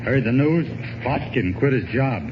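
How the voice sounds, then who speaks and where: An actor playing a casual, gossipy factory coworker in an old radio sitcom. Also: male voice, medium pitch, animated, a little slow, lightly acted. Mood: offhand. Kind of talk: 1940s radio sitcom acting. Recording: noisy, muffled vintage radio broadcast.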